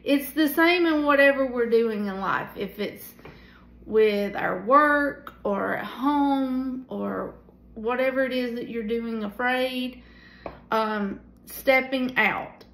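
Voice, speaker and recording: Woman, tired, slightly high voice, slow with pauses, Bible study leader, echoey home room. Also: gravelly. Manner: relaxed, unhurried, with many pauses and fillers. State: tired at the end of the day. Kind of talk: casual devotional teaching. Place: small room at home, indoors. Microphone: phone camera at arm's length.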